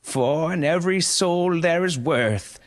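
A man's voice: on the high side, pitched above the performer's own voice. A man doing a grave, solemn giant panda. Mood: rousing. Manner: slow, measured, speechmaking. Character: panda leader, male, huge, grave and solemn.

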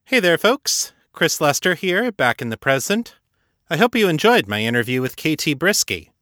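A man's voice: fairly high pitch, big pitch swings, normal speed, sharp tone, no echo. A man speaking as a podcast host, interviewing and addressing listeners.

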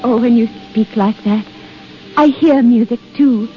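Music plays clearly behind a dull vintage radio recording of an actress as a dreamy young noblewoman. Her voice is fairly high, demure and earnest, in refined 1930s romantic drama style. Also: a little slow, natural voice. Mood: dreamy, admiring.

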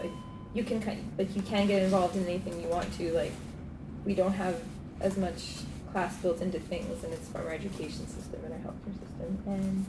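A woman with a Canadian accent casually giving an unscripted answer at a group meeting. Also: fairly low pitch. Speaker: meeting participant. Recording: echoey room with clear background noise.

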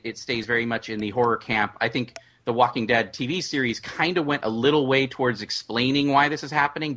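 Bright-voiced earnest male panelist. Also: medium pitch. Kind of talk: analytical panel discussion.

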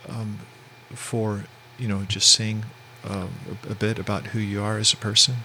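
A man with a fairly low voice hosts a podcast interview. He asks his questions hesitantly, circling back with fillers and restarts. A faint background noise sits under his voice. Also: little pitch movement, normal speed, bright, forceful tone. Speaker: podcast host. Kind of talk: casual interview.

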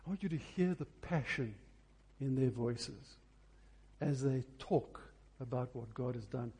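Male preacher, very husky and mellow, earnest delivery with emphatic pauses. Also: mid-range voice, lively intonation. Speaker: preacher introducing a recording. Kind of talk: sermon to a congregation.

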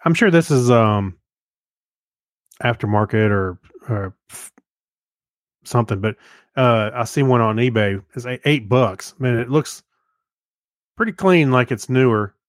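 A man in his fifties chats informally as a podcast co-host, in the loose style of someone swapping memories. His pitch is ordinary for a man, and the recording is dry with almost no echo. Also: expressive, measured pace, long pauses. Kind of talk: casual chat, reminiscing.

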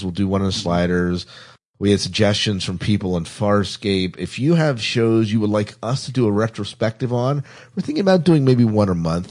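A man's voice: lowish voice, darkish tone. A man hosting a podcast, chatting casually as he runs through show announcements. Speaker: podcast host.

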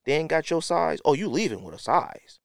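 A man's voice: fairly high pitch, a little fast, creaky, dry recording, studio quality. An American man hosting a podcast, telling stories casually in slangy speech and acting out what people said. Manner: animated storyteller acting out quotes, slangy. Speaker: podcast host. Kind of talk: casual storytelling podcast talk.

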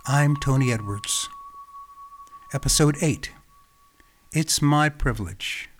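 An American man from Los Angeles, hosting his own podcast with calm, reflective scripted narration. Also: medium pitch, slow pace, a little hiss or hum. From Los Angeles, California. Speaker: podcast host.

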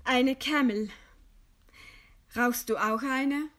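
Proud, slow-speaking condemned woman. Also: high-pitched, a bit bright, heavily acted. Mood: dry resignation. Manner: slow, deliberate, ironic. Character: condemned woman writer, scornful, proud, composed. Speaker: radio drama actor. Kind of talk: German radio play acting.

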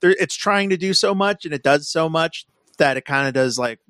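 A man's voice: fairly high pitch, normal speed, fairly bright tone, dry recording, studio quality. A man co-hosting a podcast in a casual, informal way, thinking aloud as he talks through films. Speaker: podcast co-host. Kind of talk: casual film discussion.

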